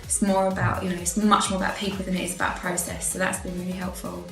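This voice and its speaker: A woman speaks in a calm, reflective way, her tone staying level. Her voice carries an echo of the room, with quiet music beneath. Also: on the low side, fast talker, vocal fry. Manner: reflective, relaxed, casual fillers. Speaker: community development worker. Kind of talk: unscripted testimonial. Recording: roomy echo with faint background music.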